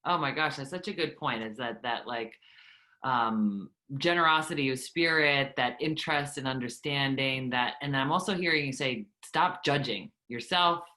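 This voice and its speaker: Bright, enthusiastic low-voiced woman host. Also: moderate pace. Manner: enthusiastic, effusive. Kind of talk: casual interview.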